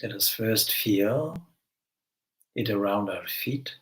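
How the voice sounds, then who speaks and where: A man leading a guided meditation as a spiritual teacher, giving gentle instructions with long pauses between phrases. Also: fairly low pitch, low-quality recording.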